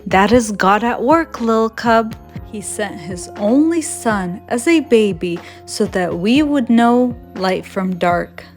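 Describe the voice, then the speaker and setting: A woman voicing a patient, loving mother bear who explains things gently in a storybook. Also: mid-range voice, animated, takes it slowly, faint music behind. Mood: reassuring.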